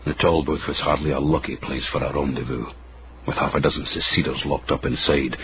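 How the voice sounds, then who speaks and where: An actor playing a watchful, wry Scottish manservant who narrates his own tale in a measured, dry way for a radio drama. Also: a bass voice. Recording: muffled radio broadcast, clear background noise.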